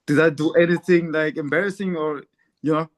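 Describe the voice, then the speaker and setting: Chatty man, higher voice. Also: steady tone, fairly quick. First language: Turkish. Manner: rambling, many fillers and restarts, laughs often. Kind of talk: casual chat.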